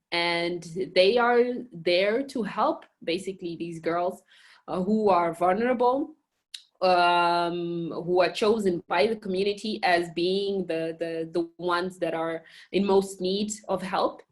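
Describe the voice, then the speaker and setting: Woman from Mozambique, lower register, halting interview answers full of fillers. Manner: hesitant, many fillers and restarts. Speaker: communications director and girls education program manager at a national park.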